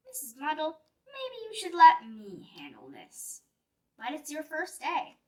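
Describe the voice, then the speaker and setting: A woman voicing a sensible, helpful kindergarten girl in a children's storybook, speaking in a hushed, tactful way. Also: sits high, huge pitch swings, measured pace, heavily acted. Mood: tactful, concerned. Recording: distant mic with music behind.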